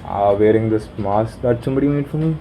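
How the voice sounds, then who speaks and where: A young man with a deep voice rambling through his plans aloud, halting and swearing casually, recorded on his phone while sitting in a room corner. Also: noisy background. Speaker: vlogger. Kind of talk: rambling self-talk planning.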